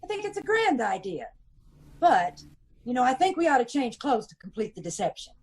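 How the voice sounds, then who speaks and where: A woman plays an adventurous American society sleuth's wife in a radio mystery. Her high, slightly rough voice moves with lively swings, arch and teasing. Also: a little fast, exaggerated voice. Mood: confident, coaxing. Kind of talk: old-time radio detective comedy acting.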